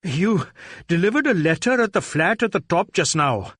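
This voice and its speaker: Man acting urgent police inspector, pitched high. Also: very animated, moderate pace, a bit bright, slightly rough, no echo, clean studio sound, heavily acted. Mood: insistent, demanding. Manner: exclaiming, insistent, demanding. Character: urgent, relentless male Indian police inspector in pursuit.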